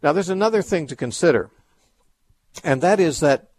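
A man's voice: fairly high pitch, expressive, normal speed, darkish tone, no room sound. A man hosting a radio call-in show, answering Bible questions in a thoughtful, explanatory way with frequent restarts.

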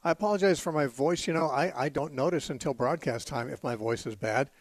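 A hoarse man hosting a live call-in Bible radio show, speaking with frequent fillers and restarts. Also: mid-range voice, a little fast, dry recording. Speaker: radio talk show host.

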